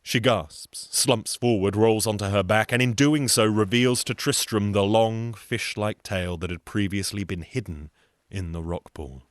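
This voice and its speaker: A man's voice: on the low side, fairly even intonation, measured pace, no room sound. A man performing theatrical folktale storytelling, with dry, wry asides.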